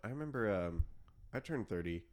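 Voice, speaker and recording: A man's voice: lowish voice, expressive, a little fast, a little mellow. A man in his thirties hosting a casual chat, easygoing and reflective as he leads the talk.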